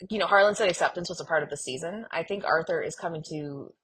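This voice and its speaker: Husky, lower-pitched woman podcast co-host. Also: fast talker, fairly bright tone. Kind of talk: casual podcast discussion of a fiction series.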